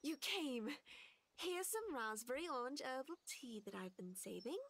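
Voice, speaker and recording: An actress voicing an earnest, nerdy young woman in love in a video game, articulate and gently confessional. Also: sits high, expressive, normal speed, a little hiss or hum. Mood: happy, affectionate.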